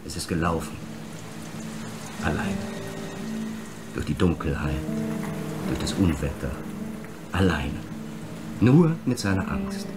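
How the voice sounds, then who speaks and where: An actor playing a menacing, brooding film director in a radio drama, an abandoned son who accuses with insistent rhetorical questions. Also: male voice, a bass voice, sing-song, slow, pauses often, over loud music, exaggerated voice. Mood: menacing, bitter.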